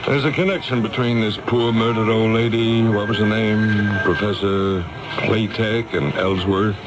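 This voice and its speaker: An American man playing a smooth, evasive, callous company boss in a 1970s radio drama, with a glib, patronizing manner. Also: fairly low pitch, fairly even intonation, a little slow, natural voice, low-quality recording. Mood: smooth, feigned concern. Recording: vintage radio drama with music.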